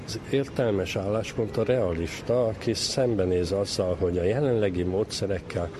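A man's voice: on the low side, noisy background. A man speaking as an expert in an interview, arguing in an emphatic, warning manner. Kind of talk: argumentative spoken interview.

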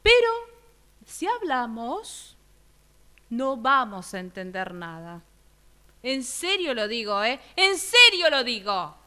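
A woman's voice: fairly high pitch, sing-song, slow pace, pauses often, pushed and bright, heavily acted. A woman in a radio drama exercise playing an earnest woman pleading to talk, insistent and repetitive.

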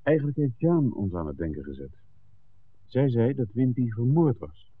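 A man's voice: medium pitch, sing-song, normal speed, close to an everyday voice. A man playing an earnest, worried character in a 1960s radio play. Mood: grave. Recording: tinny, noisy 1960s radio recording.

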